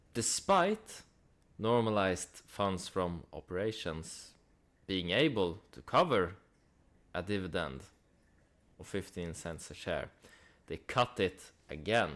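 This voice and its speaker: A man with a fairly low voice talks very slowly, as a host giving casual commentary. His delivery is conversational, leaning on rhetorical questions and dry asides. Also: fairly bright tone. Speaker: host of a stock analysis channel.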